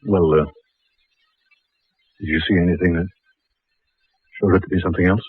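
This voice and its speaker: An actor playing an anxious camp leader in an old radio horror drama, with a husky voice. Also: male voice, deep voice, fairly even intonation, moderate pace, no room sound, phone-quality sound. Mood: dismissive, uneasy.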